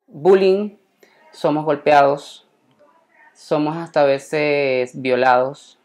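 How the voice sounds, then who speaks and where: A man's voice: a little high, slow, darkish tone. A man in his thirties from Venezuela speaking earnestly and measuredly in a documentary interview. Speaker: migrant.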